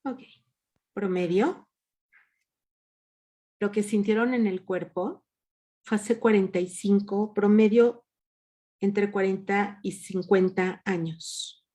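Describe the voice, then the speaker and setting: A woman's voice: medium pitch, steady tone, takes it slowly, pauses often, warm tone. A woman leading an online workshop, patiently asking her group questions over a video call. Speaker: workshop leader.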